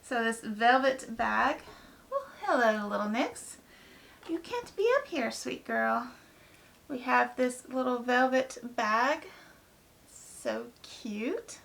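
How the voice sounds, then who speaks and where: A woman with a hoarse, raspy voice from a head cold, chatting casually through an unboxing with lots of ums. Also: on the high side, expressive, takes it slowly, a bit bright. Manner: chatty, rambling, many ums. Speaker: book vlogger.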